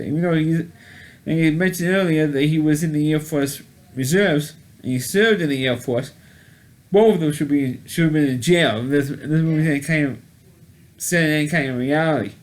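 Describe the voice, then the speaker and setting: A man talks through a movie review in a loose, rambling way, doubling back on his words and starting sentences over. His voice sits a little high for a man, and he is blunt, with the odd mild curse. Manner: rambling, with many restarts and repeated words; blunt and mildly profane. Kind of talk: casual spoken movie review.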